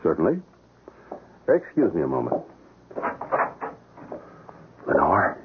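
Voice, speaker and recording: A man playing a guarded, courteous gentleman accomplice in a radio drama, with formal theatrical diction and a husky voice. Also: extremely low pitch, wildly swooping pitch, slow pace, background noise, exaggerated voice. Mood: anxious. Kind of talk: 1970s radio drama acting. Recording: tinny old radio recording.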